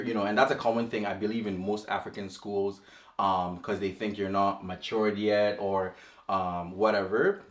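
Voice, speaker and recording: A man's voice: lowish voice, a bit bright, reverberant. A man hosting a casual interview, asking long rambling questions full of fillers. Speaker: podcast host.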